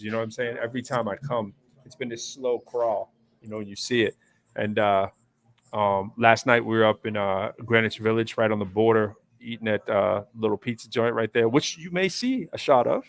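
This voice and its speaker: A man's voice: lowish voice, moderate pace, gravelly. A man hosting a live stream show, talking casually and telling long rambling stories with lots of fillers and restarts. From United States East Coast. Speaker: show host. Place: hotel area in New York City.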